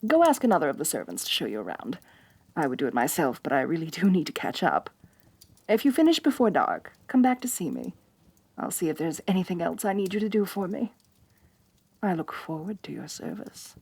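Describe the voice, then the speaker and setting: A woman's voice: fairly low pitch, very animated, a little fast, darkish tone, slightly rough, no echo, exaggerated voice. A woman playing a haughty, domineering rich lamia mistress in an ASMR roleplay, talking down to her servant. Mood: pleased, composed. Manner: haughty, domineering, talks down to the listener. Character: haughty rich female lamia, bossy mistress, slightly tsundere.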